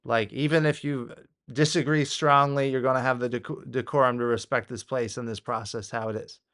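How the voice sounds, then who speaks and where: An American man reacts off the cuff as a political commentator. His voice sits at a medium pitch, and he talks haltingly, circling back over his points with exasperation. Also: fairly even intonation, fairly bright tone.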